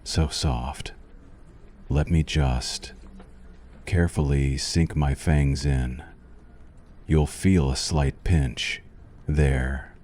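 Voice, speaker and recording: An abyssally deep, dark-toned male vampire doctor played by a man speaks very slowly, as in an ASMR roleplay. He is courtly and intimate, soothing with a menacing edge. Every phrase carries a possessive, suave hunger. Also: fairly even intonation, slight background noise, exaggerated voice. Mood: savoring, seductive.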